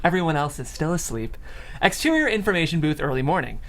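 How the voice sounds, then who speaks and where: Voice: mid-range voice, sing-song, fast talker, fairly bright tone, a little hiss or hum. A narrator reading out stage directions in a scripted style at a comedy table read.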